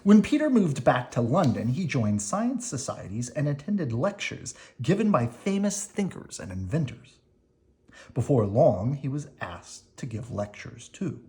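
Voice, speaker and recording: Children's read-aloud narrator: unhurried, expressive, mid-pitched, with swooping, lively intonation. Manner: expressive, deliberate reading with dramatic emphasis. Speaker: storybook reader explaining words to children. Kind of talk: reading a children's picture book aloud.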